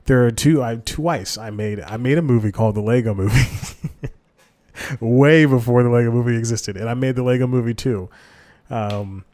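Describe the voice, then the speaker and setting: A man's voice: mid-range voice, moderate pace, mellow. A young man hosting a casual solo podcast, rambling with many fillers and self-corrections into a desk microphone. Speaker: podcast host.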